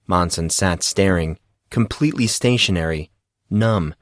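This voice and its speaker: Low-voiced man narrating dramatic fiction. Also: a little slow, no echo, studio quality. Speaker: audiobook narrator.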